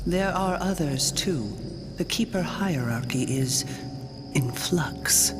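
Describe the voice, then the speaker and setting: An actor playing a cautious, earnest member of a secret order in a video game, measured and guarded. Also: male voice, on the high side, lively intonation. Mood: cautious, concerned. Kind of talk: video game cutscene acting. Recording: music behind the voice.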